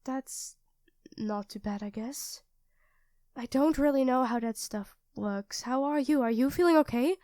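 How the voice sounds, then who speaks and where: A very high, dry-recorded young girlfriend acted by a woman in an audio roleplay. She is sweet and tender with her partner, expressive in delivery and easily thrown into a fluster. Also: unhurried, clean tone, no room sound. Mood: apologetic, tender. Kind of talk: ASMR roleplay acting addressed to the listener.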